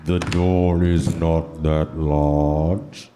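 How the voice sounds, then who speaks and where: A man's voice: a bass voice, flat delivery, drawn-out words, dark tone, voice pushed down, heavily acted. A man playing a pompous, blustering villain president as a cartoonish parody in a comedic live radio play. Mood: blustering command.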